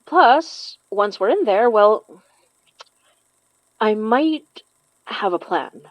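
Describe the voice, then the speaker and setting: A woman playing a steady, protective young spell caster in an audio horror drama, with casual, natural delivery. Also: on the high side, extremely slow, no room sound. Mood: earnest. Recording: dry, slightly muffled studio.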